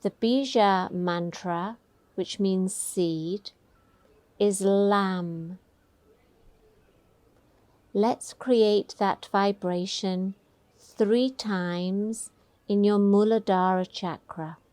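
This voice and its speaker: A woman teaching a guided meditation, giving gentle, soothing, unhurried instructions. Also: mid-range voice, steady tone, no echo.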